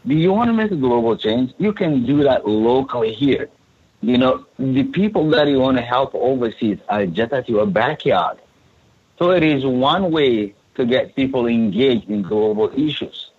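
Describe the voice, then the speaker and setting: Husky-voiced man from Sudan, earnest. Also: mid-range voice, highs cut off. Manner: earnest, repeats words when restarting. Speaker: refugee support services coordinator. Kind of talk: podcast interview.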